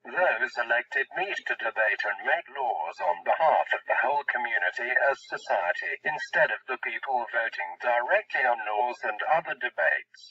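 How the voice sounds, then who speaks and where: Muffled, husky man reading aloud. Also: medium pitch, pushed and bright, dry recording. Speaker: narrator reading a lesson text aloud. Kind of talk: scripted read-along narration. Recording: muffled, distant, lo-fi.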